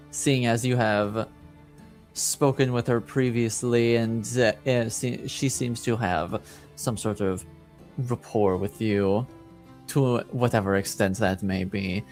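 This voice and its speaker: Man playing a slow-spoken, formal noble duke. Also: medium pitch, darkish tone, faint music behind, lightly acted. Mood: weary, polite. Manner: measured, courteous, formal phrasing. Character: composed, formal nobleman Duke, weary but courteous.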